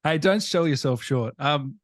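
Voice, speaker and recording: Male interview host, slightly high pitch, casual chatty questioning. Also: a little slow. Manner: informal, curious questioning.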